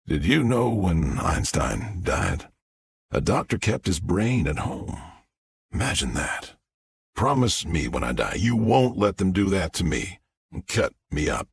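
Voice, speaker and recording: A man playing a confused, wistful old father who rambles haltingly in an audiobook. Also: low voice, sing-song, gravelly, breathy edge, full character voice. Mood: wistful, pleading. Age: older.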